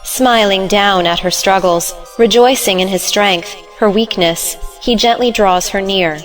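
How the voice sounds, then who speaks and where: A woman's voice: mid-range voice, a little slow, faint music behind, rough recording. A woman giving scripted narration, reading out the stage directions of a play.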